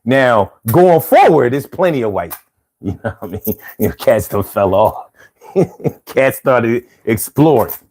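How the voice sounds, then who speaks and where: A man's voice: mid-range voice, lively intonation, unhurried, a little mellow. A man hosting a casual live stream, reading out viewer comments with laid-back, chuckling talk, from the United States.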